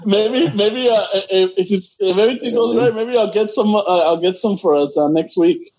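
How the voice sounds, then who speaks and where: A man's voice: high-pitched, normal speed, a little mellow, poor audio. A man with a Chicano Los Angeles accent, co-hosting a casual podcast chat, rambling, swearing and switching between English and Spanish. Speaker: podcast co-host.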